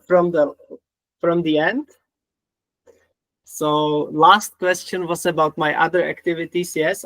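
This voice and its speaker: Czech-accented man hosting, slightly high voice. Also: a bit bright, no room sound. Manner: repeats himself, simple phrasing. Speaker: podcast host. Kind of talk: casual interview talk.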